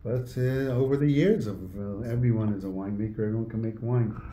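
An older man in his sixties with a very low, mellow voice talks casually as an interview guest, halting and restating himself as he explains. It is picked up by a phone across a table in a roomy space with faint noise. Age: 60s. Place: indoor room at a table. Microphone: phone camera across a table.

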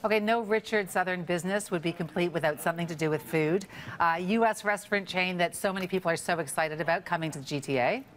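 Fast-talking, playful woman news anchor. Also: on the low side. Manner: playful, teasing and quick. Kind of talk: newscast banter. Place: TV news studio.

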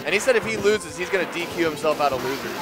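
A man's voice: fairly high pitch, animated, racing, crowd noise. A man doing casual live co-commentary on a video game match. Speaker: esports tournament co-commentator. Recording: music behind, live event stream. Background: game music.

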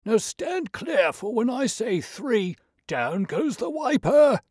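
A man voicing a lanky, cranky old male animal keeper with shrill, querulous, working-class speech. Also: big pitch swings, slow pace, darkish tone, husky, no echo, clean studio sound, exaggerated voice. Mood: angry shouting.

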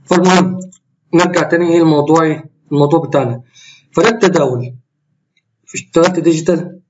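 Egyptian man, tired hoarse voice, quick and rambling, faint background hiss. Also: a little high, dull audio. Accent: Egyptian Arabic. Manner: rambling, self-correcting, explains while trading live. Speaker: trading tutorial host. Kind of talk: screen-recorded trading walkthrough.

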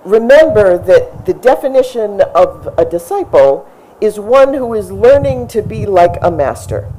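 A middle-aged woman pastor giving a measured, explanatory sermon at a lectern mic under an outdoor tent. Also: mid-range voice, sing-song, dark tone, a little hiss or hum, rough recording. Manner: measured, explanatory preaching with emphatic rises. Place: outdoor tent service in front of a brick church. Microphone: stand mic at a lectern, far camera.

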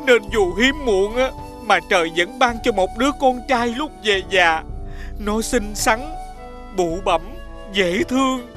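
A man's voice: high voice, slow, a bit bright. A humble old village father in a fairy-tale cartoon. He speaks deferentially and plaintively, over faint background music. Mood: sorrowful pleading. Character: humble, pleading village father, an elderly man. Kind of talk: animated fairy tale acting.

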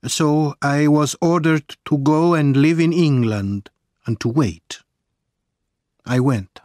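A man's voice: a little high, slow, darkish tone, no room sound, clean studio sound. A man voicing an anxious, secretive Italian political exile in dramatic, earnest audiobook dialogue. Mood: grave, confessional.

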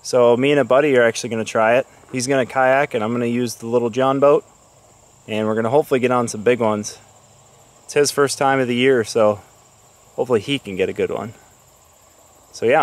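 Man, 30s, fishing vlogger, casual relaxed chat, mid pitch, outdoors, arm's-length action cam, crickets behind. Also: brisk, long pauses. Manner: relaxed, chatty, talking to camera. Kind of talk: casual vlog narration. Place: outdoors by a lake. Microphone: action camera held at arm's length.

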